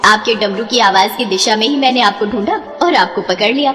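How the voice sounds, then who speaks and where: A woman's voice: a little high, fairly quick, sharp tone, big-room sound, faint music behind. A woman voicing a playful, teasing goddess and devoted wife in a devotional story, speaking sweetly and formally. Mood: teasing, triumphant. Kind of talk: animated devotional story dialogue.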